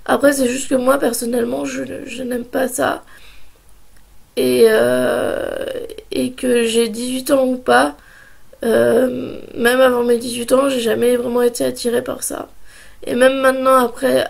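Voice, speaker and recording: A young woman speaking slowly into her camera, her high voice rough and husky from a heavy cold. Her tone is gravelly and full of fry, and she stops and restarts often as she shares her views. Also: flat delivery. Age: 20s. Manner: hesitant, many pauses and restarts. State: hoarse, sore throat and cold. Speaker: vlogger. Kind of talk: casual opinion vlog.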